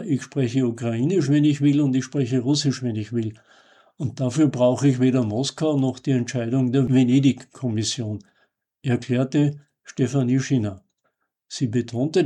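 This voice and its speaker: A man reading a scripted commentary as a radio host, in a measured, formal and emphatic way. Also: mid-range voice, mellow, slightly rough.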